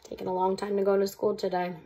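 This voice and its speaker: A woman's voice: mid-range voice, monotone, fast talker, warm tone. A woman, a second-grade teacher, reading a storybook aloud expressively and adding chatty explanatory asides for children.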